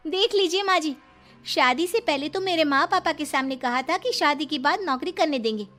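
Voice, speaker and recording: Woman acting a high, defiant young daughter-in-law. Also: moderate pace, fairly bright tone, soft background music. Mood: indignant. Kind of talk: dramatised Hindi moral story.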